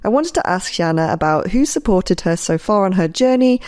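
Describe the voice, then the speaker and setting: A woman's voice: medium pitch, lively intonation, normal speed, a little mellow. An English woman hosting a podcast, reading scripted narration between interviews. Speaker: podcast host.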